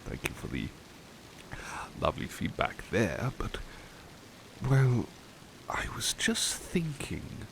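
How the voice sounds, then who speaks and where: A man playing a devoted, polite butler in an ASMR roleplay, speaking softly and hesitantly with courteous formality, often slipping toward a whisper. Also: medium pitch, huge pitch swings, slow pace, creaky, pauses often, a little hiss or hum, exaggerated voice, poor audio. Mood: courteous, warm. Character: devoted, affectionate, polite male butler comforting his companion. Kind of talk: ASMR roleplay, intimate personal attention.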